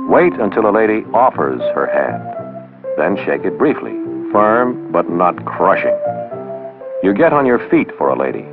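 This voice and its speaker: A man narrating a 1960s training film, instructing with wry, sarcastic asides. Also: deep voice. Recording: dull old film soundtrack with music. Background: background music.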